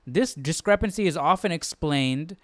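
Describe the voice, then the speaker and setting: A man's voice: fairly high pitch, lively intonation, moderate pace, fairly bright tone, no room sound, studio quality. A man hosting a live study stream in casual, informal chat, thinking things through aloud.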